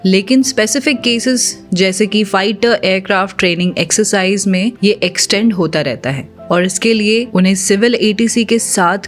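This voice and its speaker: Indian woman doing a scripted explainer voiceover, formal, drops in lots of english terms, music behind her. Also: medium pitch. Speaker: presenter of an exam-preparation channel. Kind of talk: scripted informational narration. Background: background music.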